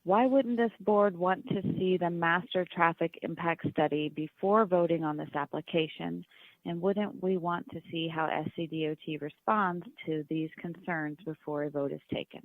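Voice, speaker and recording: A woman's voice: fairly low pitch, steady tone, normal speed, no room sound. A woman staff member reading written public comments aloud at a meeting. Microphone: phone or conference call. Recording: dry, tinny phone-like line.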